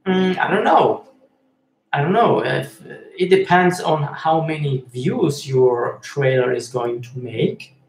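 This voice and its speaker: A man from Switzerland, hosting a live-stream chat and reading viewer messages aloud, speaking in a halting way with many fillers and restarts. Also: a little high. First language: Swiss German and Italian. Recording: echoey room with faint noise.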